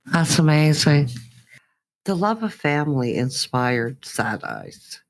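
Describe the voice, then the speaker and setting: A woman's voice: low voice, animated, slow pace, poor audio. A woman hosting a music interview in an effusive, encouraging way. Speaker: interview host.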